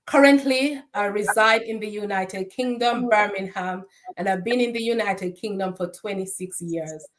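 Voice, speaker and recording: A woman's voice: mid-range voice, normal speed, slight background noise, rough recording. A middle-aged woman with a Jamaican accent, giving an emphatic, preacher-like introduction of herself as a podcast guest. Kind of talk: inspirational self-introduction.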